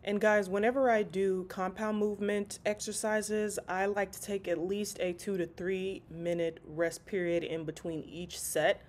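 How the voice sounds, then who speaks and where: A woman's voice: medium pitch, little pitch movement, a little slow, few pauses, a little mellow. A stuffy, congested woman chatting casually through a workout voice-over, calling her viewers y'all. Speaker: fitness vlogger.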